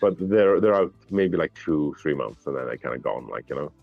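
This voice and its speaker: Welsh man, very low voice, mumbly casual chat, dry dull recording. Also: normal speed, gravelly, no echo, faint music behind. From Wales. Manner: relaxed, chatty, slightly mumbly. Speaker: guest whiskey taster.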